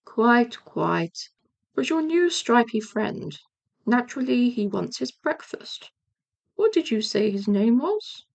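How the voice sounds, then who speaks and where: A woman acts out a gloomy toy donkey from a children's storybook. He speaks slowly and drily, stretching his words with mournful sarcasm. The delivery is resigned and unhurried. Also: on the high side, big pitch swings, creaky, full character voice. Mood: gloomy, dry. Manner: slow, drawn-out, dryly mournful.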